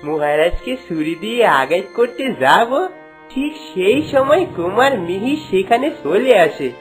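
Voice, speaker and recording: A woman's voice: mid-range voice, animated, unhurried, faint music behind, close to an everyday voice. A woman voicing a submissive, deferential palace guard in a cartoon. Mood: apologetic. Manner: deferential, explaining. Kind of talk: cartoon dialogue.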